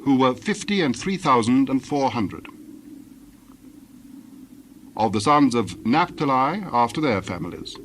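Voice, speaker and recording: A man serves as narrator for a dramatized Bible. His mid-pitched voice recites in a formal, measured, scripted way. Clear ambient noise sits behind the narration. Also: a bit bright.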